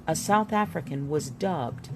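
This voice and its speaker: A woman reading a history book aloud expressively, with theatrical emphasis and pauses. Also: deep voice, lively intonation, background noise. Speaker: book reader. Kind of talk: expressive read-aloud of an illustrated history book, with spoken asides. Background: music.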